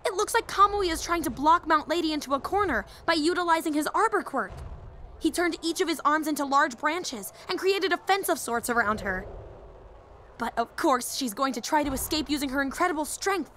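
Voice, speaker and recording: High-pitched nerdy young woman, acted out, eager and rambling. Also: fairly quick, a bit bright, slight background noise. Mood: fascinated, analytical. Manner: eager, rambling, stammering when flustered. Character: nerdy, excitable, shy female hero-fan teacher, earnest and easily flustered. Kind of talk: fan audio roleplay, rambling fight analysis.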